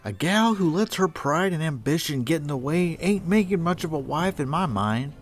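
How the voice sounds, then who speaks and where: A man's voice: fairly high pitch, expressive, moderate pace, faint music behind. A man playing a proud, chauvinist cowboy suitor in a comic western drama, speaking in folksy cowboy talk. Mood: indignant.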